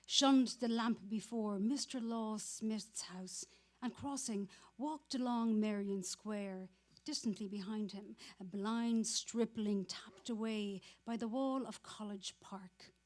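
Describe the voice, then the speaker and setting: Female narrator, mid-pitched and unhurried, scripted dramatic literary reading.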